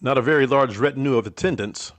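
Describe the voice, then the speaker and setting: An actor playing a refined gentleman detective in an old-time radio drama, formal and precise in his wording. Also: mid-range voice, fast, close to an everyday voice. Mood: polite, amused. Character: refined, amused gentleman detective, politely correcting.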